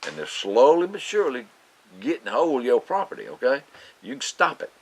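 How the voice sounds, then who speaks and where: A middle-aged Southern man hosting a local farm show, rambling through announcements with lots of "uh"s, his voice deepened by a cold. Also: huge pitch swings, brisk, gravelly, husky, rough recording. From Florida Panhandle, US. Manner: drawling, rambling, many "uh"s and restarts.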